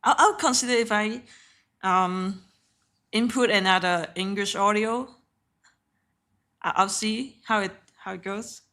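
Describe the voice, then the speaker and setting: A woman whose first language is Cantonese streams her trades live in a bright, clear voice. She keeps it casual and unpolished, swearing offhandedly and laughing between thoughts. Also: mid-range voice, unhurried, long pauses. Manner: chatty and candid, swears offhandedly, often laughs. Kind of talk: casual live trading commentary.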